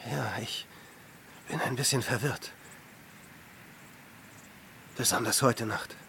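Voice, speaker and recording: An actor in a radio drama playing an ascetic hermit saint, fervent and full of doubt, with anguished delivery that swings between murmur and outburst. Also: male voice, medium pitch, slow pace, sharp tone, a little hiss or hum, full character voice. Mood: awed, confused. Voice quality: husky, breaks into whispers.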